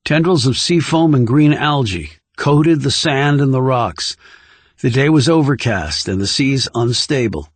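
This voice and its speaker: A man's voice: mid-range voice, expressive, measured pace, no echo. A man reading scripted thriller narration as an audiobook narrator.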